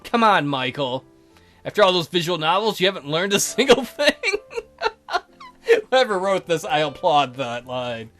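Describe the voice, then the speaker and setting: A man's voice: high voice, big pitch swings, a little slow, a bit bright, faint music behind. A young man commentating on a visual novel playthrough, with crude, mocking, exclamatory asides. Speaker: gaming video host. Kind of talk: let's play commentary, reading a visual novel aloud.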